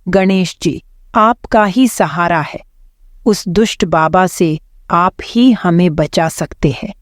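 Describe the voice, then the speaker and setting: A worried young wife played by a woman pleads in an animated horror tale. Her voice is on the low side and recorded very dry. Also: takes it slowly, no room sound. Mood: pleading. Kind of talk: animated horror story voice acting.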